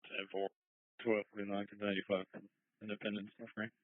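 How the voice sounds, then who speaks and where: A man's voice: fairly low pitch, flat delivery, brisk, no room sound. A man speaking terse emergency dispatch traffic over a two-way radio. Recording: phone-like, dry police scanner radio.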